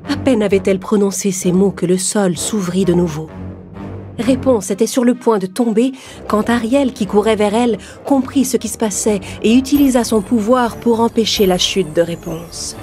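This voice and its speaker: Mellow woman narrating a children's fairy tale. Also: mid-range voice. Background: background music.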